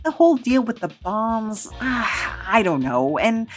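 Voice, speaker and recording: A woman's voice: fairly high pitch, very animated, unhurried, faint music behind. A woman playing a weary, cautious gang leader in an audio drama, wry and conversational. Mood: wary.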